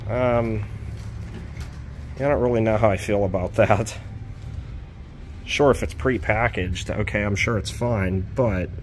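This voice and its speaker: Man, lowish voice, casual vlog commentary, thinks aloud, handheld phone outdoors, faint background noise. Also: a little slow, pauses often. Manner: rambling, thinks aloud with fillers. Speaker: urban exploring vlogger. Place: outdoors around an abandoned store. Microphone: handheld phone camera.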